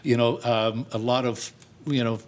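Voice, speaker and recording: A man's voice: medium pitch, steady tone, normal speed, a little mellow. An older man talking informally and good-humoredly in a television interview, explaining his work as a theater director. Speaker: community theater director and set designer.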